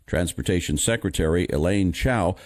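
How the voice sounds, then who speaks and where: A man reading scripted news stories in a measured, formal manner. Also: low-pitched, dry recording, clean studio sound. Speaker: news reader.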